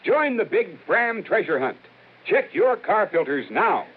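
Male ad announcer, high pitched, lively swings, urgent selling, vintage 1950s radio, tinny recording. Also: unhurried, husky.

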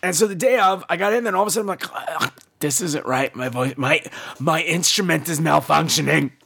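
Male podcast host, laryngitis hoarseness, slightly high pitch, campy, cheeky, casual comedic monologue. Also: fairly quick, bright, forceful tone.

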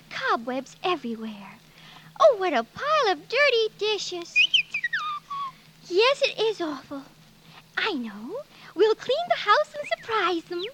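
A woman's voice: very high-pitched, background noise, heavily acted. An actress playing a sweet, innocent young fairy-tale princess in 1940s radio style, girlish and wide-eyed. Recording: old radio broadcast with loud music.

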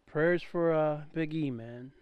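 A man co-hosting a podcast in casual chat, reacting with short replies and quick laughs. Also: a little high, clear, resonant tone, highs cut off. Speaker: podcast co-host.

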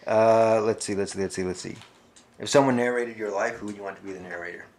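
A man with a deep voice hosting a podcast, talking in an easygoing, rambling way full of fillers. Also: fast, a little mellow. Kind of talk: casual chat.

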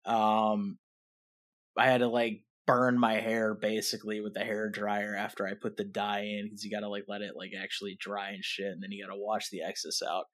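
A man's voice: on the low side, level tone, normal speed, fairly bright tone. A young man co-hosting a podcast, telling a rambling story in casual chat, full of fillers and swearing. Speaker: podcast co-host.

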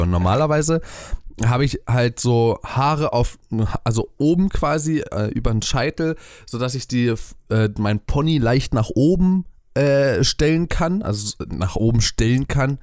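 A man's voice: medium pitch, darkish tone, no echo. Man, chatty podcast co-host, rambling with lots of ums, dry close sound. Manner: rambling, hesitant with many fillers. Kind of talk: casual chat. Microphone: webcam and desk mic at home.

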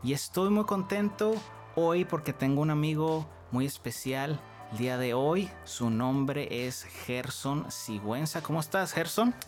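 A man's voice: a little high, lively intonation, measured pace, soft background music. A man hosting a podcast, welcoming his guest enthusiastically and asking friendly questions in a casual interview. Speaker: podcast host.

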